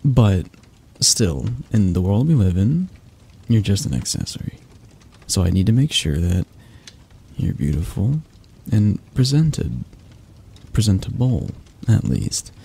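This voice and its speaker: Casting: male actor as a domineering mafia boss for ASMR roleplay, dark husky voice, flirty and patronizing. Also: on the low side, big pitch swings, takes it slowly, exaggerated voice. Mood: possessive, condescending. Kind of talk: intimate ASMR roleplay acting.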